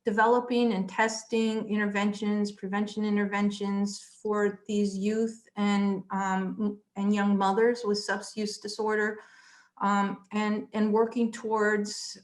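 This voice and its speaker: Mid-pitched woman professor, earnest and steady panel speaker. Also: slow. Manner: earnest, steady, occasional ums. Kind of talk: online panel introduction.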